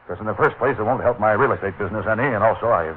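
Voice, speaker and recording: Male actor as nervous American businessman client, lowish voice, hesitant, 1940s radio drama, phone-narrow sound. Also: rapid-fire, natural voice. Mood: worried. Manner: hesitant, earnest. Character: anxious, hesitant businessman client fearing for his life. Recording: muffled, tinny 1940s radio recording.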